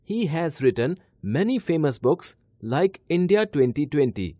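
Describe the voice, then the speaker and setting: A male narrator reads a children's story with a soft, mellow tone and a very animated melody. His delivery is measured and expressive, every phrase laid out clearly for young listeners, and the sound is close and dry. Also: a little high, no echo.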